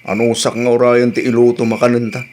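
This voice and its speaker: A man's voice: mid-range voice, flat delivery, quick pace, darkish tone, a little hiss or hum, lightly acted. Man playing a grumbling older Ilocano husband. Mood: grumbling, impatient. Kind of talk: radio comedy drama acting.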